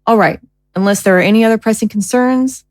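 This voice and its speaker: Brisk, commanding woman as a government leader, dry sound. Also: a little high, no room sound, natural voice. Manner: authoritative, formal, clipped. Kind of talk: audio drama acting.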